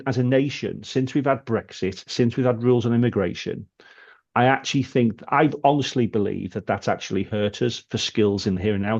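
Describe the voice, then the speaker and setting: Halting British man on a remote call. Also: medium pitch, darkish tone, muffled recording. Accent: British English. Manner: thoughtful, halting, restarts often. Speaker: podcast co-host. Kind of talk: casual podcast chat.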